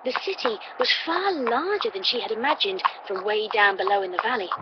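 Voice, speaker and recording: Woman, scripted story narration, audiobook reader, muffled sound, clear background noise. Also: on the high side, brisk. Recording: dull, noisy playback through computer.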